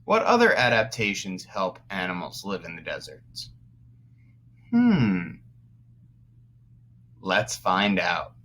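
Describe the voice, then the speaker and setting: Slow-paced man reading aloud to children. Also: low voice, wildly swooping pitch, fairly bright tone. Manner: explanatory, pausing to clarify words. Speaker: first-grade teacher. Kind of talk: read-aloud lesson for young children.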